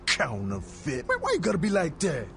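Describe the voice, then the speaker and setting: Boastful heavyset street dealer, high-pitched, very animated delivery, slangy showman patter, game character. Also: normal speed, soft background music, exaggerated voice. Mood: mock offended. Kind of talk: video game character acting.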